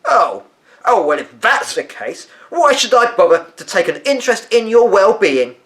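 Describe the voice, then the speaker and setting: A man plays an imperious old grandmother in a children's story. The voice is pitched up and swoops with disapproval. Every line sounds stern and haughty. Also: very animated, moderate pace, a bit bright. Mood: huffy.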